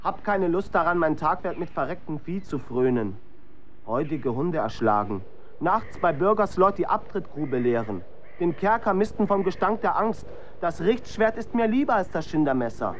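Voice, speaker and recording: A man's voice: a little high, animated, moderate pace, dull audio, slight background noise, lightly acted. A young man acting an ambitious, boastful executioner's son in a historical radio play, with vivid archaic phrasing. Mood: bitter, eager.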